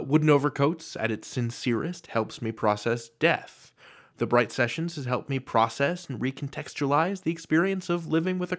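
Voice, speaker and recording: A man hosting a podcast, reading a scripted introduction in an earnest way with theatrical emphasis. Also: mid-range voice. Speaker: podcast host.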